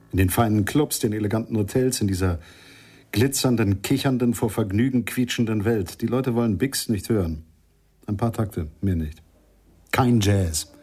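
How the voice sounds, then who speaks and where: An actor playing a sardonic chronicler of the jazz business, narrating a radio play in measured, savoured phrases. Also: male voice, fairly low pitch, mellow, slightly rough.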